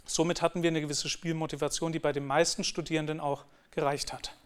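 A man's voice: fairly high pitch, level tone, quick pace, smooth. A man giving a talk on teaching, explaining in an earnest way and often restarting his sentences. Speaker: lecturer.

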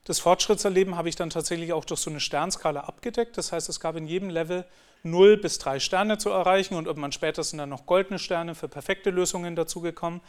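Earnest German-speaking male lecturer, slightly high. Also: fairly even intonation, fast talker. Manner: earnest, explanatory, with frequent restarts. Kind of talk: conference talk on teaching.

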